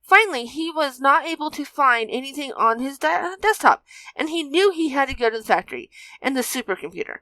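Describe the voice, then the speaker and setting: A woman's voice: sits high, animated, a little fast, bright, forceful tone, no echo. A woman narrating a fan fiction story as a scripted reading.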